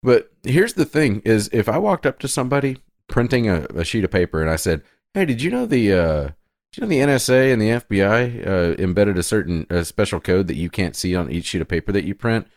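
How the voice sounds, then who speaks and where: A man co-hosting a podcast in casual chat, blunt, crude and opinionated, with a deep voice. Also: very animated, a little fast, a little mellow, no echo, clean studio sound. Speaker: podcast co-host.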